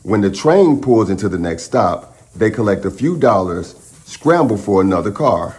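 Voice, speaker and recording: A man hosts a children's storytime in a fairly low voice. His pitch moves very freely as he reads. He phrases dramatically, with pauses for his young listeners. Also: very animated, a little mellow. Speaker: children's storytime reader and channel host. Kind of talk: expressive picture-book read-aloud.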